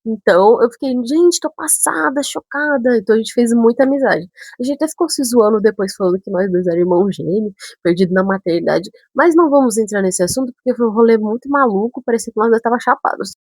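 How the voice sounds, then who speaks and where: Chatty young Brazilian woman, husky. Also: on the high side, quick pace, few pauses, dry recording. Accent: northern Brazilian touches mixed with São Paulo speech. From São Paulo, Brazil. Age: 20s. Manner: chatty, animated, self-interrupting with asides. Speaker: vlogger. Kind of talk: casual storytelling to camera.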